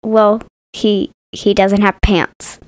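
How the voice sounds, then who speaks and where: A woman's voice: medium pitch, level tone, slow pace. A female podcast co-host chatting casually in a playful, contrary way. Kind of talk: casual chat.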